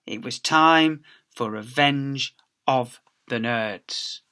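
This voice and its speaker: Bright, forceful man reading a story aloud. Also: mid-range voice, extremely slow, clear, resonant tone. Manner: theatrical emphasis with acted sound effects. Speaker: teacher reading aloud to schoolchildren. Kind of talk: animated storytelling.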